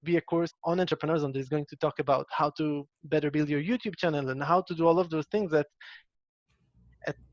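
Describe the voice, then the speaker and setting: Man, host, quick talker, restarts a lot, slightly high voice, dry muffled sound. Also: steady tone, dry recording. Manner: rapid, restarts and repeats words. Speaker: host, business owner. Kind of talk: casual interview.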